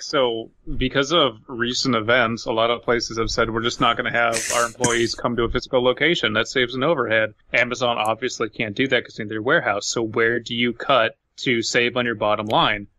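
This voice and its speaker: A man's voice: medium pitch, fairly even intonation, moderate pace, few pauses, bright, forceful tone, a little hiss or hum. A man chatting casually as a podcast host, sarcastic and given to swearing. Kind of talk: casual chat.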